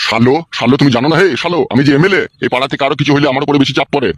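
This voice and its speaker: Man playing a fast, bossy village politician. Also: medium pitch, no room sound, lightly acted. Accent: rural West Bengal. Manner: coarse, hectoring, interrogating. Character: bossy, foul-mouthed male village politician scolding people. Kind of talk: comic cartoon dialogue.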